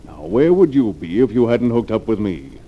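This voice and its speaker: An actor playing a ruthless, self-satisfied wealthy financier in the style of a 1940s radio drama. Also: male voice, lowish voice, big pitch swings, normal speed. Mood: menacing. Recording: muffled, noisy old radio recording.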